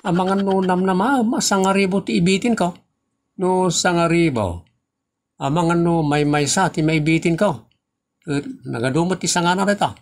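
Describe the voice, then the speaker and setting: Man acting creaky-voiced, teasing Filipino father. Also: high-pitched, moderate pace. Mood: teasing, boastful. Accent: Ilocano Filipino. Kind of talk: Ilocano comedy audio drama acting.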